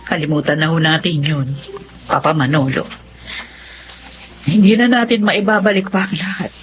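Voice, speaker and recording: A woman's voice: low voice, fairly even intonation, moderate pace, a little hiss or hum, close to an everyday voice. A woman playing an emotional middle-aged Filipino mother in a radio drama. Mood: gentle, forgiving. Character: Lourdes, emotional Filipino mother of grown children. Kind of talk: Philippine radio drama acting. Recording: muffled lo-fi radio drama, music behind.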